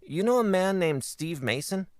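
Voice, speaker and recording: A woman's voice: lowish voice, big pitch swings, normal speed, no room sound, clean studio sound. A woman playing a brusque, businesslike police officer in an old-time radio drama. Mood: curt, official.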